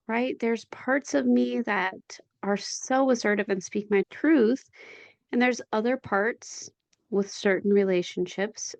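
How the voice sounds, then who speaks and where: A woman in her forties hosting her own podcast, talking from home in a candid, reflective, conversational way. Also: medium pitch. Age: 40s. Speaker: podcast host. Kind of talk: solo podcast monologue.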